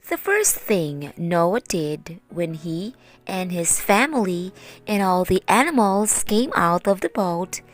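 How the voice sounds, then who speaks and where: A woman teacher narrating a children's Bible story in a slow, deliberate and expressive way. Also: lowish voice. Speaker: teacher reading stories for children. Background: soft background music.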